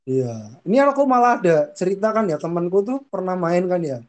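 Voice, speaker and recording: A man's voice: high-pitched, very animated, brisk. A young man with a northern Ngapak Javanese accent, chatting casually as a podcast co-host, rambling with restarts and easy laughter.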